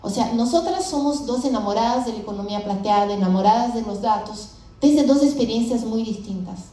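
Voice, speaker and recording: A woman in her fifties, a startup founder, telling her story in a lively, conversational way at a conference. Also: on the high side, brisk. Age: 50s. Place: large event hall with audience. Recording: echoey hall from a distant mic.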